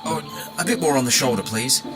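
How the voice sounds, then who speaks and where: A man's voice: mid-range voice, lively intonation, normal speed, loud music behind the voice, close to an everyday voice. A man playing a gloomy, curt tin woodman in an audio drama, dry, weary and self-deprecating.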